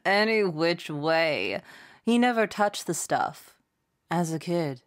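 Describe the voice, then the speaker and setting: Old country music star, audio drama, unhurried, sassy, fond, folksy. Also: female voice, lowish voice, expressive. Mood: fond, wry. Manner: folksy, sassy drawling storytelling. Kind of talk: documentary interview acting.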